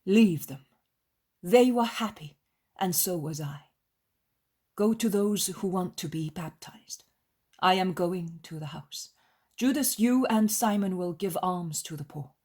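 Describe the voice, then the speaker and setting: A woman voicing a gentle, kindly male holy teacher in a storybook reading, solemn, reassuring and emphatic. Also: fairly low pitch, lively intonation, takes it slowly. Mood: calm, instructing. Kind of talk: dramatized devotional storybook reading.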